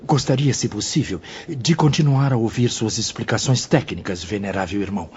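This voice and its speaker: An actor playing an impatient, curious spirit apprentice who keeps asking questions. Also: male voice, medium pitch, normal speed, darkish tone, soft background music, lightly acted. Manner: eager questioning. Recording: dull, faint noisy audio.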